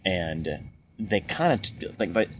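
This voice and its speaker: A man's voice: sits low, expressive, takes it slowly. A man chatting casually about films, rambling with many restarts and fillers. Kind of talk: casual movie chat. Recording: muffled lo-fi recording with faint hiss.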